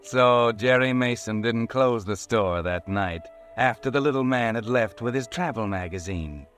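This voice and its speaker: A man's voice: on the low side, fairly bright tone. A man narrating a 1940s radio mystery in a brooding, second-person manner. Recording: old radio broadcast with music behind.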